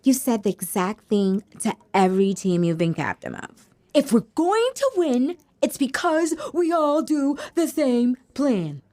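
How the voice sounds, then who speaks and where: Cheeky, animated little sister. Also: female voice, a little high, unhurried. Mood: needling. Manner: needling, blunt. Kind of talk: scripted audio drama acting.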